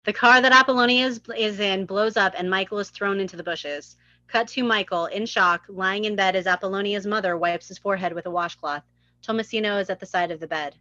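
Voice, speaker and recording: A woman's voice: medium pitch, brisk, sharp tone, no echo. Woman reading script directions, dull dry audio. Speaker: stage directions reader. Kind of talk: scripted narration at a live table read. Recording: dull, slightly muffled close audio.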